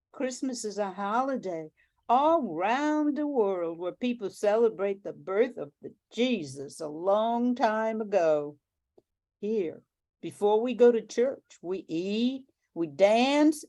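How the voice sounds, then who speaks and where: Woman playing a slow-talking, folksy grandma. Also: mid-range voice, expressive, dark tone. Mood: welcoming. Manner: folksy, scolding, coaxing. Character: feisty, bossy Cajun grandmother fisherwoman with a kind heart. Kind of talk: amateur radio drama acting.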